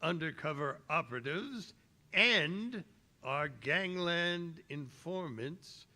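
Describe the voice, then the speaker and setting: A man playing a worried, authoritative police commissioner in a 1930s radio drama, earnest and halting. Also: on the high side, animated, pushed and bright, full character voice. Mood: grave, worried.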